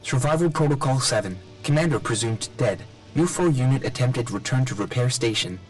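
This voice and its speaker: An actor playing an emotionless military robot drone in a video game, giving literal, clipped status reports. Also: male voice, mid-range voice, lively intonation, brisk, over music, close to an everyday voice, poor audio. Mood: flat, mechanical.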